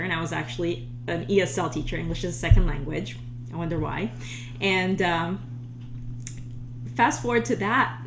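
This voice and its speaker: A woman in her thirties, Israeli-born, telling a casual story to camera in a chatty, animated way with frequent laughs. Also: on the low side. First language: Hebrew. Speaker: online marketing coach. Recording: echoey room with steady hum.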